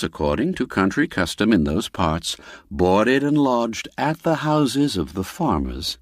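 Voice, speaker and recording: A man narrating a classic tale in a scripted storytelling style, with an expressive, lilting delivery. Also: on the low side, no echo, studio quality.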